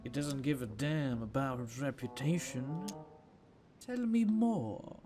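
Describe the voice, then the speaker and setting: A man's voice: mid-range voice, lively intonation, takes it slowly, mellow, soft background music. A man giving casual, theatrical and exclamatory commentary while playing a video game.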